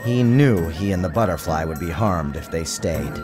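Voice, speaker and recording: A man's voice: sits low, normal speed. A man giving a dramatic, theatrical scripted narration of a storybook, with music behind him. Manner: theatrical, expressive. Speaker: author narrating his storybook.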